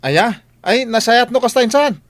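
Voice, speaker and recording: A man playing a friendly, eager village cousin in a radio comedy-drama, with an exclamatory, animated delivery. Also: extremely high pitch, normal speed, dry recording. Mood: excited.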